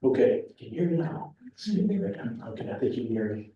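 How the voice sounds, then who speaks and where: A soft-spoken man, rambling and restarting as he addresses a town meeting as the applicant. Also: medium pitch, animated, fast, lo-fi sound, slight background noise. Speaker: property applicant. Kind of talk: public meeting remarks. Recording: echoey room from far off.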